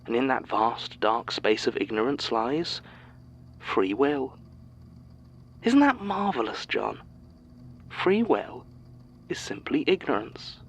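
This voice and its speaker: A man's voice: medium pitch, big pitch swings, takes it slowly, pauses often. A man playing a sinister, musing manipulator in an audio drama monologue, theatrical and knowing, with some background noise. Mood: hushed, taunting.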